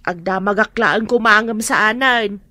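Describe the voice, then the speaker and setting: A woman's voice: medium pitch, moderate pace, sharp tone, dry recording, studio quality, close to an everyday voice. A middle-aged woman with an Ilocano accent plays an anxious mother who pleads for her children in a radio drama. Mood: worried.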